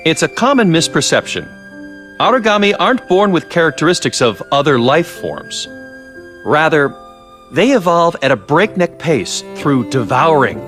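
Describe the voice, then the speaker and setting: An actor playing a clever, genial scientist in a video game, chatting and lecturing in an unruffled way. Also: male voice, on the high side, big pitch swings, moderate pace, fairly bright tone, faint music behind. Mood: intrigued, explaining. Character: clever, genial, sly male scientist doctor. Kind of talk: English video game voice acting.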